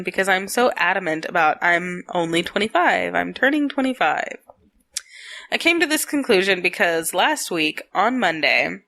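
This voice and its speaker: A woman's voice: mid-range voice, sharp tone. A woman in her late twenties from Texas, co-hosting a casual podcast chat, laughing often and teasing her partner. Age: 20s. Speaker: podcast co-host. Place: home in Arizona.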